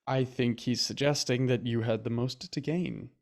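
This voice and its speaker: An actor playing a dry, world-weary doctor in a period mystery radio play, speaking formally. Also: male voice, medium pitch, steady tone, moderate pace, close to an everyday voice.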